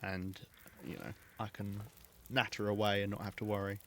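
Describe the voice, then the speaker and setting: A man with a southern English accent, chatting casually and self-mockingly while streaming a game, with a calm, soothing voice. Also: on the low side, normal speed, a little hiss or hum. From southeast England. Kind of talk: casual gameplay chat.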